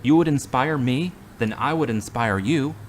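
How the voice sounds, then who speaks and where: A middle-aged actor playing an earnest, devout man recovering from surgery in a radio drama, speaking in a measured way. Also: mid-range voice, a little hiss or hum, natural voice.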